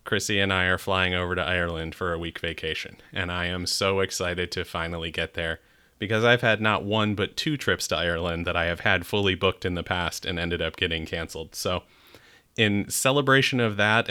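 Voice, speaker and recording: A man's voice: low-pitched, pushed and bright, clean tone. A middle-aged man hosting a podcast in a chatty, upbeat way, with the odd restart. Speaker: podcast host. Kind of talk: casual chat.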